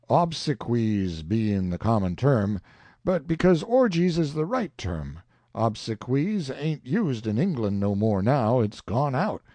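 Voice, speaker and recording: A man voicing a pompous, long-winded older swindler who drawls slowly and grandly. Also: mid-range voice, warm tone, no echo. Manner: pompous, slow, self-satisfied.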